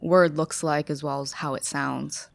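A young woman with an American accent, born in China, talking casually and thoughtfully as a podcast guest, with lots of fillers and pauses. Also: sits low, steady tone, creaky, dry recording. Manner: hesitant, filler-heavy, thoughtful. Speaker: guest, strategy staffer.

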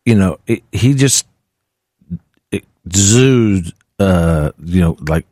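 A man co-hosting a morning radio show, relaxed, laughing easily and taking listener calls. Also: sits low, takes it slowly, no echo, clean studio sound. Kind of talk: casual morning radio banter.

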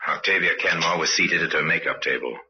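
An actor playing a grave storyteller, narrating an old-time radio mystery on a dull old recording. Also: male voice, extremely low pitch, a little fast, pushed and bright, breathy edge, heavily acted. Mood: grave, suspenseful. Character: grave male storyteller of a crime tale.

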